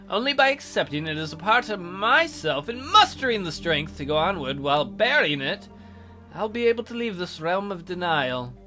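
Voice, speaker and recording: A man's voice: a little high, pushed and bright. A man reading a visual novel aloud theatrically as a let's play host, with casual, jokey asides.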